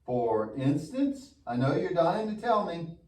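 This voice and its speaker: Man acting as mischievous puppet boy, goofy, animated high voice, church puppet show, distant mic. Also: unhurried. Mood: wisecracking. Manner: exaggerated, wisecracking. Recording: echoey room, distant mic.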